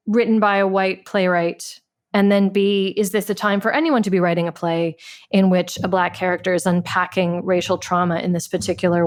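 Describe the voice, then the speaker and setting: A woman's voice: mid-range voice, smooth. A woman playwright speaking reflectively in an interview.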